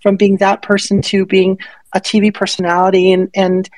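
A woman's voice: mid-range voice, completely flat delivery, darkish tone, no room sound. A woman hosting a casual live audio chat, joking with the audience and drawing out her questions. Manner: playful, joking, drawn-out questions.

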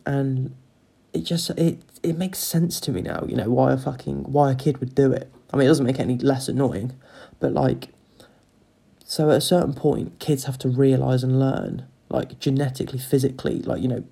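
A man with a croaky voice, rambling through a sweary, casual solo chat as a podcast host. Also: mid-range voice, fairly even intonation, moderate pace, warm tone. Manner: rambling, sweary, loses his train of thought.

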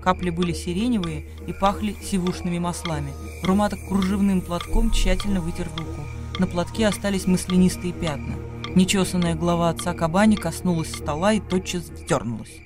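High-pitched male radio drama narrator. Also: fairly quick. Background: music.

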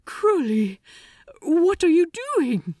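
A woman's voice: sits high, big pitch swings, slow, darkish tone, dry recording, studio quality, voice pushed up, full character voice. A woman voicing a frightened, worried male angel in a story reading, alarmed and pleading. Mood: uneasy. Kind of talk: dramatic fan fiction reading.

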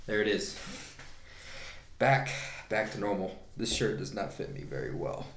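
A man's voice: on the low side, animated, a little slow, gravelly, echoey room, background noise. A man with a Texas twang co-hosting a casual podcast from a living room. He talks in a loose, laid-back way, swears freely and laughs often. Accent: Texan. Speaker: podcast co-host.

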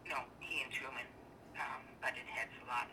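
A woman's voice: a bass voice, fairly even intonation, normal speed, bright, forceful tone, a little hiss or hum. An older woman reminiscing informally as an interviewee on a distant phone-like line. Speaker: interviewee recalling a relative.

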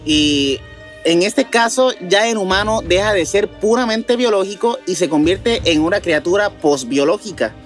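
High-voiced Mexican man co-hosting over music. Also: animated, moderate pace. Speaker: podcast co-host. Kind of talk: casual news commentary on strange stories.

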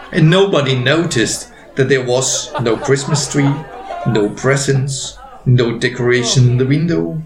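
A man reading his own story aloud as a narrator, with gentle, deliberate phrasing and pauses. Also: mid-range voice. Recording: roomy echo with faint music behind.

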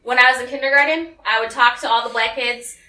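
A woman's voice: a little high, steady tone, fast, bright, forceful tone. A young woman from the United States telling a personal story to a small group, acting out the voices of the people she quotes. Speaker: speaker giving a talk. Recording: echoey room, voice from some distance.

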